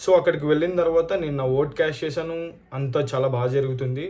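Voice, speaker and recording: A man's voice: fairly high pitch, fairly even intonation, fast talker, darkish tone. A man from India with a Telugu accent, talking casually to viewers as a vlogger and often mixing in English phrases. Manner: code-switches often between Telugu and English. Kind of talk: casual product review and storytelling to viewers.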